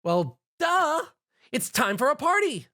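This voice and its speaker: A man voicing a hyper, bubbly female party pony who squeals with excitement. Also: very high voice, takes it slowly, voice pushed up, full character voice. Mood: giddy.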